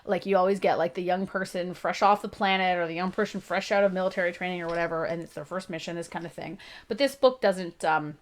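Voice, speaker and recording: Chatty gravelly-voiced woman reviewing books. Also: lowish voice, steady tone, quick pace. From Canada. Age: 30s. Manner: chatty and self-deprecating, with frequent laughs and restarts. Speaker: book reviewer, channel host. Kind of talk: casual spoken book review.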